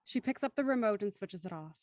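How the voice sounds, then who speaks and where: A woman's voice: medium pitch, lively intonation, fast, a little mellow, no echo. A woman reading scripted, present-tense narration for an audio drama as its narrator.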